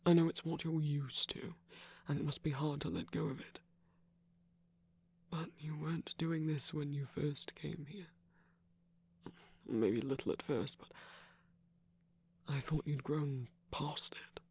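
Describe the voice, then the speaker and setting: A performer playing a tender villain comforting a lover in an intimate ASMR roleplay, often whispering in a gentle, coaxing way close to the microphone. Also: medium pitch, fairly even intonation, measured pace, long pauses. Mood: soft, probing. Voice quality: breathy, husky, often whispering.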